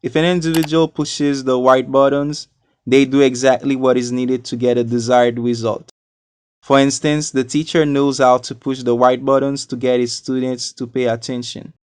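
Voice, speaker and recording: Clear-voiced man from Haiti teaching English. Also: mid-range voice, darkish tone, no room sound, clean studio sound. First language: not a native English speaker. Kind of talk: scripted educational narration.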